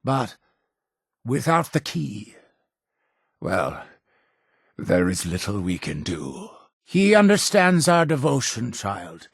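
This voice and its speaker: An actor playing a zealous, imperious cult leader in a video game, with a gravelly, husky voice and solemn, grandiose delivery. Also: male voice, medium pitch, big pitch swings, slow pace, pauses often, no room sound, heavily acted. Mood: grave, resolute. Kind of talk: theatrical video game dialogue.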